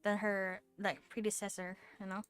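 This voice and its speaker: A woman's voice: medium pitch, level tone, normal speed, fairly bright tone, clean tone. A woman streamer reacting casually to a video game from her room at home. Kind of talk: casual live reaction commentary. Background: game music.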